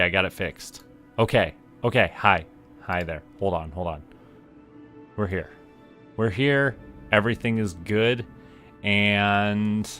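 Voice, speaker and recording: Slow, low-voiced man chatting. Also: pushed and bright. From Canada. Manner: rambling, halting, chatty asides to viewers. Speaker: streamer, VTuber host. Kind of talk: casual livestream chat.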